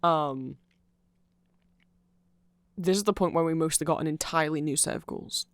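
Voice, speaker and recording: A man with a slightly high voice talks in a casual, rambling ASMR explainer style. He sounds nerdy and keen on his subject, and the recording is close and dry with little room sound. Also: normal speed, a bit bright, clear, resonant tone, no echo. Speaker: ASMR audio creator.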